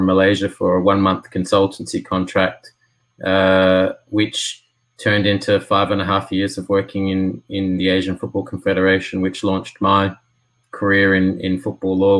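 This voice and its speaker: A man's voice: deep voice, fairly bright tone. A man in his thirties from Australia, talking casually on a video call from a small room, hesitant with many fillers. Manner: hesitant, with frequent fillers and restarts. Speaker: football lawyer, alumnus guest. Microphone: laptop webcam on a video call.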